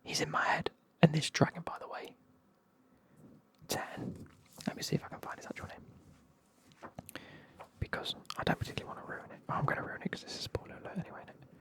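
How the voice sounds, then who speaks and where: A person with a British accent whispering a casual, chatty, sweary retelling of a book in a breathy ASMR style. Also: a little fast, pushed and bright.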